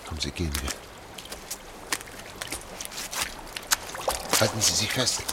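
Casting: a sober older guide in a German radio drama, low-pitched and deliberate, over noisy running water. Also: male voice, animated. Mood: calm, urging. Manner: hushed, measured, deliberate. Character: sober, methodical older man guiding a girl through sewers. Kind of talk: German radio play acting. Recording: noisy track with trickling water. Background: running, dripping water.

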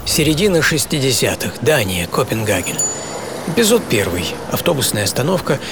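A male radio host narrates a first-person story in a mid-range voice, reflective and quietly wry. The delivery is intimate and measured. Music plays steadily beneath him throughout. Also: mid-range voice, big pitch swings, creaky. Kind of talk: scripted first-person audio drama narration. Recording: voice over background music.

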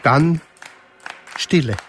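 A man reading stage directions in a radio play, in a measured, matter-of-fact way. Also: a little high, crowd noise. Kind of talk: scripted narration in a radio play.

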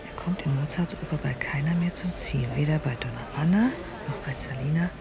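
An actress in a radio play delivering grave, formal commentary like a lecture. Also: female voice, deep voice, fairly quick, creaky, a crowd in the background. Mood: didactic, grave. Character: stern female commentator pronouncing on opera morals. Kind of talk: essayistic recitation in a radio play. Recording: music behind the voice.

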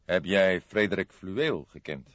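Man playing a calm detective, dry muffled recording. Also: deep voice, dry recording. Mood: calm inquiry. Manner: measured, unruffled questioning. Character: calm, amused veteran male police detective. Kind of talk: audiobook character acting. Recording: slightly dull, dry studio recording.

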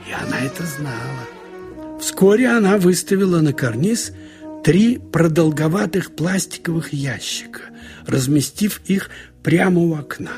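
A man narrating a short story in a conversational, wry way, with expressive asides, like a radio audiobook reader. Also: a little high, vocal fry. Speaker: narrator reading literary prose.

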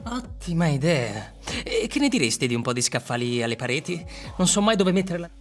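A man's voice: a little high, very animated, brisk, faint music behind. An actor playing an amiable, accommodating young man in a radio play. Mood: pleased. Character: John, amiable accommodating young man in cramped shared room.

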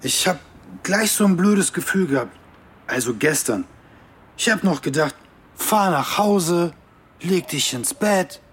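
A man's voice: a little high, expressive, breathy edge. Anxious, guilt-ridden young man. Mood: anguished, weary. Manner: halting, troubled confession. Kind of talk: radio drama acting.

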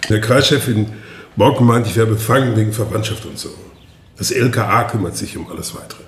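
A man's voice: on the low side, brisk, a little hiss or hum, natural voice. A man playing a weary village policeman who talks in a hesitant, apologetic way, in a roomy space. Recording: roomy radio drama scene, faint ambience.

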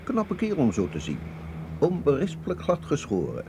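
A man's voice: fairly high pitch, wildly swooping pitch, moderate pace, darkish tone. A man playing a confident, earnest intelligence colonel in a radio drama, formal and authoritative. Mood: assured, serious. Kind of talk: Dutch radio drama acting. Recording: clear vehicle noise behind voice.

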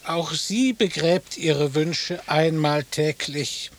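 An actor playing a haughty, unflappable gentleman in a radio play, with a pompous deadpan delivery. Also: fairly high pitch, takes it slowly. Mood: aloof. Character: haughty, unflappable husband, pompous beach meditator. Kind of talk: German radio play acting. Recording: faint tape hiss.

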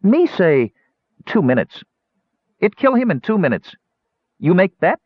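A man's voice: high voice, very animated, measured pace, slightly rough, dry recording, pitched above the performer's own voice, heavily acted. A man voicing a small female gully dwarf who speaks in broken, blunt phrases. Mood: blunt, matter-of-fact. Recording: dull, dry lo-fi recording.

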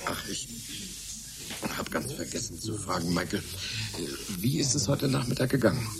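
An actor playing a complacent, stubbornly optimistic scientist in a radio drama, musing and rambling chattily. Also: male voice, lowish voice, lively intonation, moderate pace, creaky, very noisy. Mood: pleased. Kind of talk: German radio drama acting.

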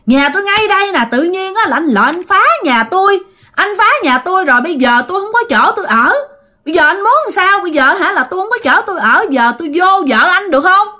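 Woman voicing a high-pitched, aggrieved female tree ghost. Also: animated, fast talker, pushed and bright, heavily acted. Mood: indignant scolding. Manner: insistent, repetitive complaining.

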